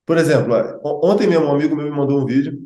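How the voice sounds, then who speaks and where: Fast-talking Brazilian man teaching, echoey room. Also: a little high, mellow. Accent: Brazilian Portuguese. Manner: chatty, full of fillers and asides. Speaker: English teacher and host. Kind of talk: casual explanatory chat.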